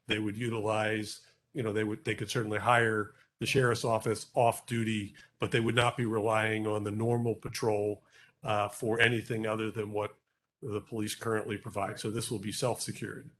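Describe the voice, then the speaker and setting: A man representing a project, answering council questions with halting, restarting explanations. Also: fairly low pitch, little pitch movement, a bit bright. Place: council chamber.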